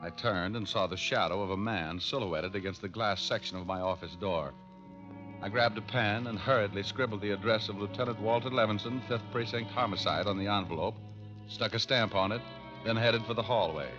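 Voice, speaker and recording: A man's voice: fairly low pitch, fairly quick, lightly acted. An American man playing a hard-boiled, wisecracking private detective in a vintage radio drama, breezy and sardonic. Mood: wry narrating. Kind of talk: 1940s-50s radio detective drama, wry narration. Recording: muffled old radio recording with music.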